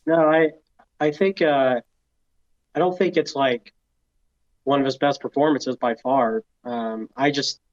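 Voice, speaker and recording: A man's voice: medium pitch, fairly even intonation, a little slow, long pauses. A man co-hosting a podcast, giving mild, hesitant opinions in casual film chat, on a dull lo-fi recording. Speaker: podcast co-host. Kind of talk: casual movie review chat. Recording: dull, lo-fi sound.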